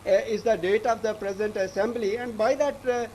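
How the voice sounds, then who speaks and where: Indian guy reporter, high voice, stop-start live report, faint noise behind him. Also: a little mellow. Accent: Indian English. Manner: halting, repetitive delivery. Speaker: news correspondent.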